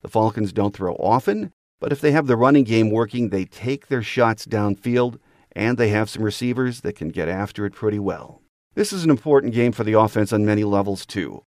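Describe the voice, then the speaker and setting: A man's voice: fairly low pitch, fairly quick, a little mellow, no room sound, clean studio sound. A man hosting a sports podcast with scripted commentary and guest introductions.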